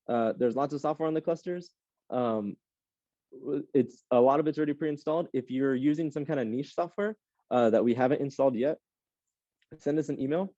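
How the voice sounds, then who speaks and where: Man, young adult, computing instructor, casual rambling lecture, lots of ums, slightly hoarse, mellow tone, dull lo-fi sound. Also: medium pitch, dry recording. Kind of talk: live online class lecture. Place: classroom with a Zoom audience.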